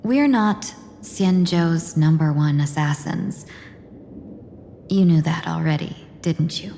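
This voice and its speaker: A woman voicing a cool, shrewd mercenary negotiator in a video game, speaking in a measured, probing way. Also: low voice, vocal fry, dark tone, slight background noise, heavily acted. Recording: long reverb, music behind. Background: game background music.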